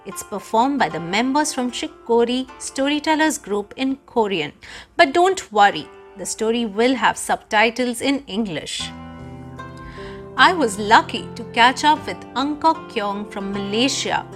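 A woman's voice: a little high, animated, unhurried, fairly bright tone, soft background music. A woman hosting a podcast who reads a scripted introduction and asks the guest questions with enthusiasm. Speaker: podcast host.

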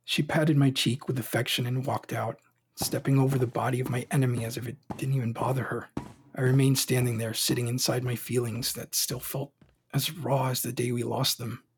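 A young emperor of an audio drama, angry and grieving underneath his pride. His voice is heavily breathy and husky, and he speaks in brooding, formal, clipped phrases. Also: medium pitch, little pitch movement, fairly quick. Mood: brooding, sorrowful. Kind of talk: audio drama acting with first-person narration.

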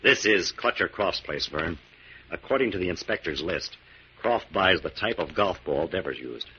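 An actor playing a confident, take-charge American crime-fighter in 1940s radio style, brisk and authoritative, on a muffled old recording. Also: male voice, low-pitched, expressive, slight background noise. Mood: low, reassuring.

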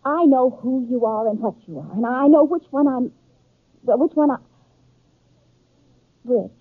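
An American actress playing an earnest, flustered young frontier bride-to-be in a 1950s radio western, with a hesitant, emotional delivery. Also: female voice, sits high, measured pace, husky, no room sound. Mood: exasperated. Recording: dry, narrow-band 1950s radio recording.

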